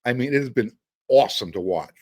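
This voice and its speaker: A man's voice: mid-range voice, animated, quick pace, dry recording. A man hosting a casual sports talk show, giving his opinions as a fan and putting questions to a guest. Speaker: sports podcast host.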